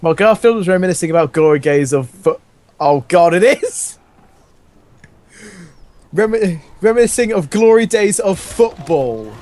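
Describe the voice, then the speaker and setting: A man's voice: high-pitched, expressive, moderate pace, pauses often, darkish tone. A man doing a casual comic read-aloud as a host, jokey and often breaking off from the reading to riff. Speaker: host reading fan fiction aloud while gaming.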